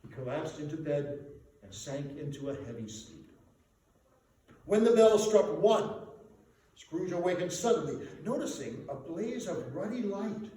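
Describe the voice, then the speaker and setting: A man giving a dramatic literary reading as a storyteller, with theatrical, emphatic and deliberate phrasing. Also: a little high, sing-song, dark tone. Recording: very echoey room, distant mic, background noise.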